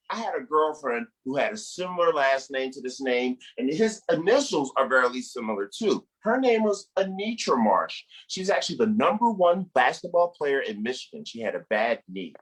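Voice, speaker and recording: Animated male comedy emcee. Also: on the high side, moderate pace, hardly any pauses, slightly rough, poor audio. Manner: profane, hyping, addresses the crowd. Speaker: comedy show host and comedian. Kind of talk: stand-up emcee banter.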